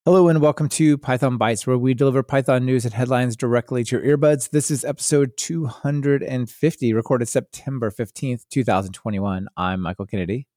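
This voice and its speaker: A man's voice: mid-range voice, a little fast, few pauses, mellow, no echo, studio quality. A man hosting a podcast, talking casually about tech. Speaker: podcast host.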